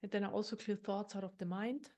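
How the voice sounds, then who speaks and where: A woman leading an unscripted guided meditation in a gentle, unhurried, explanatory manner, often circling back over her words. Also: mid-range voice, flat delivery.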